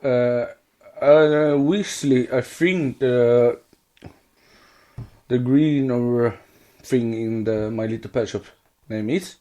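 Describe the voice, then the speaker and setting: Slow-talking Swedish man, halting English. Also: mid-range voice, dark tone. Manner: halting, loosely worded English. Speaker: podcast co-host. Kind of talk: casual fan podcast chat.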